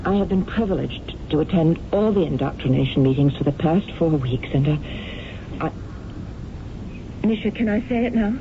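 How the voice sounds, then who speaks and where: A heartfelt young actress in love, tinny hissy vintage radio play. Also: female voice, low-pitched, expressive, normal speed, pauses often. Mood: earnest. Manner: earnest, heartfelt. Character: young female actress, idealistic and lovestruck. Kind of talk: 1970s radio drama.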